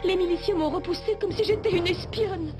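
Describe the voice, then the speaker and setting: A resourceful young heroine in a French cartoon dub. Her voice is high and earnest, with music playing behind it. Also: a little fast. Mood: tearful, hurt. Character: resourceful young woman feigning hurt before her boss. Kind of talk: French animated series dub acting.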